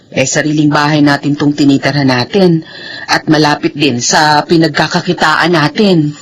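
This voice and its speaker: A woman's voice: low-pitched, flat delivery, normal speed, close to an everyday voice. A woman playing a motherly, worried aunt. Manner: motherly, encouraging. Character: concerned middle-aged aunt, warm-hearted.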